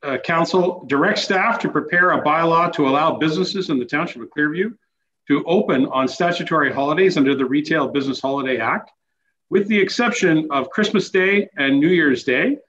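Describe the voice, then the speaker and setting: A man's voice: fairly high pitch, a little fast, fairly bright tone. A man serving as mayor, reading a council motion and calling the vote over a video call. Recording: echoey muffled video call with faint noise.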